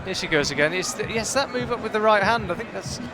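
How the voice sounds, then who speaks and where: A man with a fairly high voice calls a climbing competition live, chatty and analytical. He often restarts his sentences as he works through the moves. A loud crowd fills the big hall behind him. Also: lively intonation, fast, music in the background. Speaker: climbing commentator. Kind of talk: live sports commentary.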